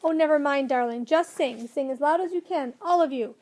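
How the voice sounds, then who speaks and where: A woman voicing a kindly, excitable old male professor in a storybook, fervent and given to gentle lecturing. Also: sits high, normal speed. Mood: rousing.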